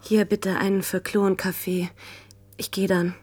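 A woman's voice: mid-range voice, flat delivery, unhurried, a little mellow, slightly rough. An actress playing a polite, grieving widow who has lost her home, hesitant and apologetic in a radio drama. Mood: flustered, apologetic. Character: timid, polite, newly homeless grieving widow. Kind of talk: German radio drama acting.

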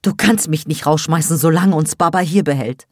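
Woman acting defiant adult daughter, exasperated, firm, annoyed, audiobook dialogue, low voice, dry recording. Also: lively intonation, moderate pace, no room sound. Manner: annoyed, firm, arguing back.

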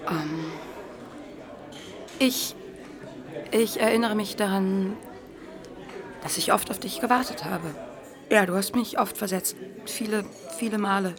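A woman's voice: mid-range voice, a little slow, long pauses, crowd noise, lightly acted. A woman playing a cool, wounded, accusing teenage daughter in a naturalistic radio play, speaking with hesitant defiance. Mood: hurt, accusing. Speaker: radio drama actress.

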